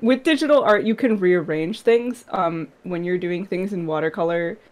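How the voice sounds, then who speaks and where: Slightly hoarse woman on a video call. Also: on the low side, normal speed. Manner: rambling, many fillers, laughs softly. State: slightly hoarse, losing her voice. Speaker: guest comic artist. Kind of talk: casual interview answers on a video call.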